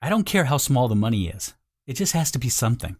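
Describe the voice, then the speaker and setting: A man's voice: medium pitch, big pitch swings, fast talker, darkish tone. A man narrating a scripted sales voice-over, speaking directly and persuasively to the listener. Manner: direct, persuasive second-person address.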